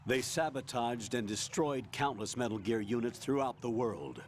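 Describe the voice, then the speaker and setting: Man doing a calm authoritative colonel, even delivery, faint hiss. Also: medium pitch, normal speed, lightly acted. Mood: measured. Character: male commanding officer, measured and authoritative. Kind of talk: video game cutscene acting.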